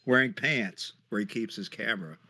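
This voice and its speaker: A man giving casual joking commentary among friends, dropping wry deadpan asides. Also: on the low side, normal speed. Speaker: reader and co-commentator on a stream.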